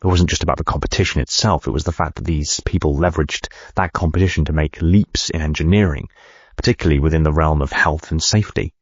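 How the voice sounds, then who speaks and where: A driven ceo dictating his memoir, super low husky voice, measured and thoughtful, bit muffled. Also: no echo. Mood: reflective. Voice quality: gravelly, husky. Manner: reflective, measured, articulate. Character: driven male medical company CEO recounting his life. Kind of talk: first-person memoir narration.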